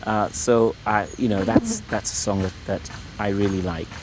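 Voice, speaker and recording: Low-voiced Irish-born man, hesitant. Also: normal speed, noisy background. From Ireland. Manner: hesitant, repeats words, many fillers. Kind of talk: relaxed conversational interview. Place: his home, indoors.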